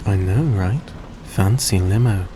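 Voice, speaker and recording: A man playing a suave, tender centuries-old vampire in a roleplay audio drama, speaking in a soft, coaxing, genteel way to the listener. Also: lowish voice, slow. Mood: apologetic, gracious. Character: suave, gracious centuries-old male vampire, young-looking, tender and grateful. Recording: steady background noise, vehicle-like ambience.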